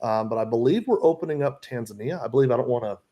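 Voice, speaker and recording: A man's voice: medium pitch, lively intonation, quick pace, muffled recording, a little hiss or hum. Conversational male live-stream co-host. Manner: friendly, restarts sentences. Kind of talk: casual live-stream chat. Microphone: video call.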